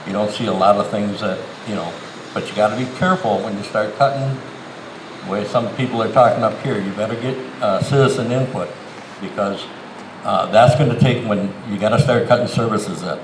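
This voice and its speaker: A middle-aged man with a low voice. He answers as a council candidate in a plain, halting way. The sound echoes heavily over an outdoor PA, with clear ambient noise. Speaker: city council candidate and incumbent. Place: outdoors in a town park.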